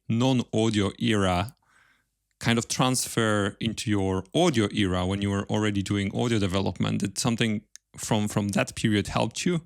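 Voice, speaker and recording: A man's voice: lowish voice, creaky, dry recording, clean studio sound. A man hosting a podcast, asking questions in a casual interview style.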